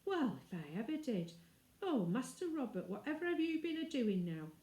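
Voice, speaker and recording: A woman's voice: sits high, sing-song, normal speed, mellow, pitched above the performer's own voice. A loyal servant woman from a storybook, put on by a woman reader. She scolds in a flustered, homely, rustic way, full of exclamation. The sound has a roomy quality. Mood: shocked.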